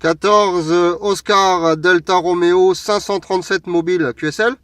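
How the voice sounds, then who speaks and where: High, clear-voiced Frenchman on radio. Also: steady tone, unhurried, fairly bright tone, no echo. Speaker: mobile radio operator. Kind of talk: friendly radio contact chat. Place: inside a parked vehicle. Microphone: radio hand microphone, recorded close by.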